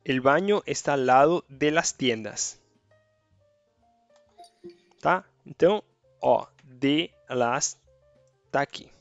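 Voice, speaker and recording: A man's voice: medium pitch, slow pace, pauses often, no room sound, dull audio, soft background music. A man whose first language is Spanish, teaching Spanish grammar in a casual online lesson and checking in often with his viewers. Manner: chatty, checks in with tá and beleza.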